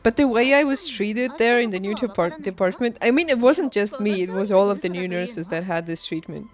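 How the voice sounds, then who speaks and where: A young adult woman chatting casually on a livestream, telling personal stories in an emphatic, animated and informal way. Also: medium pitch, brisk. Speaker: livestreamer, former nurse. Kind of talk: casual stream chat, personal storytelling. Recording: background music behind close dry voice. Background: music.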